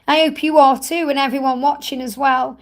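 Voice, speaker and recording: A woman with a slightly croaky voice hosting a live spiritual card-reading stream, gently reassuring viewers and calling them honey. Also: sits high, fairly even intonation, a little fast.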